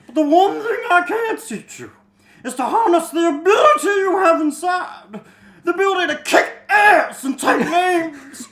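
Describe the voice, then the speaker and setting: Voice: very high-pitched, animated, unhurried, fairly bright tone, reverberant, full character voice. An amateur reader playing an earnest fantasy mentor with an emphatic delivery, in a reader's theater reading. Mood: encouraging. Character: Noblin, earnest mentor sending a young hero off.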